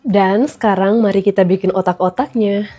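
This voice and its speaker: A woman's voice: mid-range voice, fairly even intonation, normal speed, warm tone, faint music behind. A woman in her thirties with a stuffy, nasal voice from a cold, chatting to viewers as she cooks at home. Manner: chatty, addresses viewers often. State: recovering from flu. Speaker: vlogger and mother. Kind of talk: casual cooking vlog.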